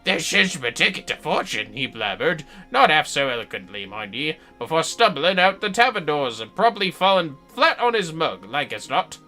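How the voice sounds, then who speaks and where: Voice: medium pitch, big pitch swings, normal speed, hardly any pauses, bright, forceful tone, soft background music. A streamer with an androgynous voice playing a scornful, talkative fantasy treasure digger, reading game dialogue with mocking emphasis and folksy archaic phrasing. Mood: scornful, amused.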